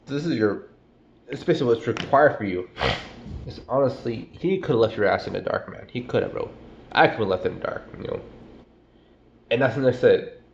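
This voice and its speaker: A man's voice: medium pitch, expressive, a little fast, gravelly, long pauses, reverberant, dull audio, a little hiss or hum. A man with a stuffy cold giving a casual, slangy, profane sermon, calling listeners "bro" and "man". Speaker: preacher. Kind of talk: casual street-style sermon.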